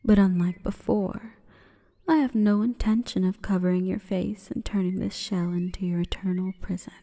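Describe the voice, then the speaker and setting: Female forest spirit, played by a woman for ASMR roleplay: mellow breathy whisper, solemn and seductive, in long reverb. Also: mid-range voice, steady tone, natural voice. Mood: solemn, hushed. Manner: slow, breathy, formal and commanding.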